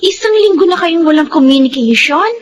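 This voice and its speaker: A woman's voice: sits high, animated, brisk, soft background music. A woman playing a frank, alarmed confidante who exclaims and reproaches in a Filipino audio melodrama. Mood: worried, reproachful. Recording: faint background noise.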